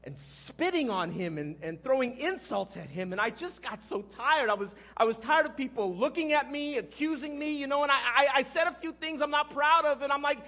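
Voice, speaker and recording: An actor playing a guilt-ridden, impulsive fisherman disciple in a halting, conversational dramatic monologue, with a husky male voice. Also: extremely high pitch, lively intonation, highs cut off, full character voice. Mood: desperate, anguished. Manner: halting, conversational, erupting into shouts. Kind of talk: dramatic first-person monologue.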